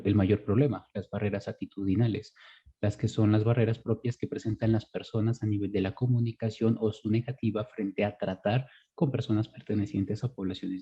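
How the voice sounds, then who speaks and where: A man's voice: on the low side, mellow, slightly rough. A man giving a formal academic presentation over a muffled video call. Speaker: conference presenter. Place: online video conference.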